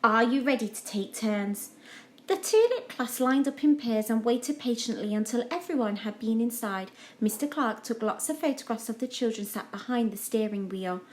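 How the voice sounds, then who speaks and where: Animated woman storyteller in an echoey room. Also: a little high, normal speed, hardly any pauses. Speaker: children's storyteller. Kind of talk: scripted storytime narration.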